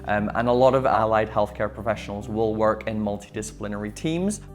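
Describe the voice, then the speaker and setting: A man who grew up in Malawi, talking about his work with relaxed, explanatory speech and some fillers. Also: on the low side, brisk, soft background music.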